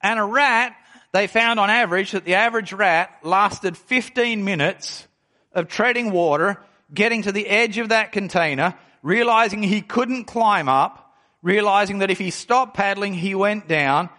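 A pastor in his forties with a fairly high voice preaches a sermon. He reads scripture aloud and then explains it, slowing down and pressing hard on the words that matter. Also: bright, forceful tone. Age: 40s. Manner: emphatic preaching, slows down to stress key phrases.

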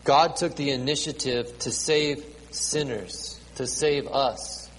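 A man in his late thirties preaching a sermon to a congregation with children, halting and repeating words, with many ums. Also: medium pitch. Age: 30s. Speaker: pastor. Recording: reverberant hall, faint ambient noise.

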